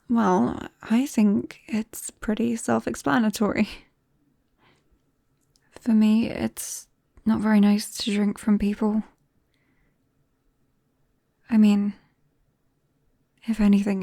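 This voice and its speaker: A young British vampire played by a woman confides shyly in a close friend in an intimate ASMR roleplay. The voice is soft and warm with a creaky edge, hesitant and often dropping to a whisper. The recording is very dry and close to the mic. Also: fairly high pitch, no echo. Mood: sheepish, earnest. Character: shy, embarrassed young female vampire confiding in best friend. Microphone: close microphone.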